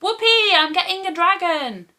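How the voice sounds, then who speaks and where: A woman voicing a curious, excitable young boy in a children's storybook, eager and wide-eyed. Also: very high-pitched, normal speed, pitched above the performer's own voice, exaggerated voice. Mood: gleeful. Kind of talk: children's storybook character reading.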